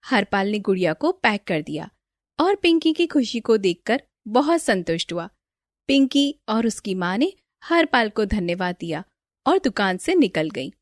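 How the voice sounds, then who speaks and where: A woman's voice: medium pitch, big pitch swings, a little slow, no echo, clean studio sound. A woman storyteller reading a scripted children's moral story as narrator.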